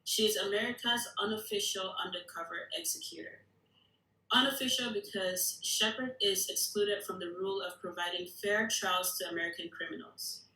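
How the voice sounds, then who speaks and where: A woman's voice: mid-range voice, fairly even intonation, moderate pace, bright, forceful tone, lightly acted. A woman acting a tough, blunt secret-agency recruit at a screenplay table read. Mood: explaining. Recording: echoey room.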